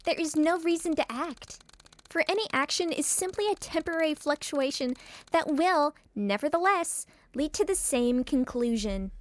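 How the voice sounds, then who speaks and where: A woman's voice: high voice, expressive, moderate pace, no room sound. A woman voicing an articulate, philosophical schoolgirl with an anxious streak in a dating sim fan dub, speaking in a brooding, formal way. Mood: bleak, resigned.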